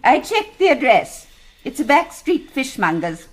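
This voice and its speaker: An actress with a British accent playing a prim, sharp elderly landlady in a radio drama, speaking in a crisp, formal, cutting way. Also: high voice, big pitch swings, a little slow, slight background noise, low-quality recording. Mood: accusing. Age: older.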